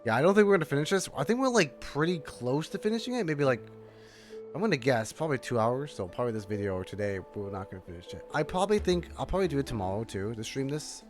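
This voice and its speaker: A man's voice: medium pitch, big pitch swings, fast talker. A man livestreaming a game in a casual, rambling, chatty way, reacting and reading text aloud. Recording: faint music behind voice. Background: faint game music.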